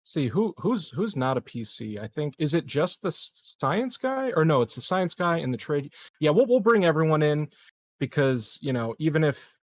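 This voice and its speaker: A man's voice: fairly high pitch, big pitch swings, moderate pace. A man playing a tabletop game, chatting casually and thinking aloud in a hesitant way.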